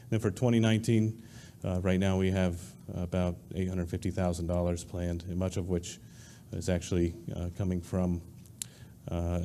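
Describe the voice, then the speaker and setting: Man, town official, low flat mellow voice, hesitant briefing style at a public meeting. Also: slight background noise. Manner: hesitant, stops to recall figures. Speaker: town official presenting road projects.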